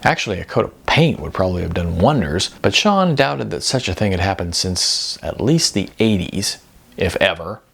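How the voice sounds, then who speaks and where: Gravelly low-voiced male audiobook narrator. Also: lively intonation, moderate pace, fairly bright tone.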